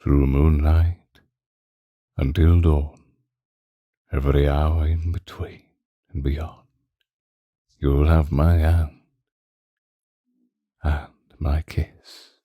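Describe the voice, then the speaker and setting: Male actor as ancient vampire lord for romantic ASMR: bottomless, unhurried, breathy voice, courtly and devoted, theatrical old-world diction. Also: rumbling low, flat delivery, no echo, phone-quality sound, heavily acted. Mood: possessive, romantic. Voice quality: breathy, husky. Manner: slow, theatrical, formal old-fashioned diction with lingering pauses. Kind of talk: romantic ASMR roleplay, whispered and intimate.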